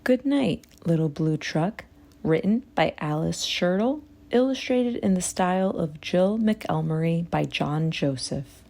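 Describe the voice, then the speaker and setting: A woman narrating a rhyming children's story in an expressive read-aloud style. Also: lowish voice, a little slow, creaky, a little hiss or hum.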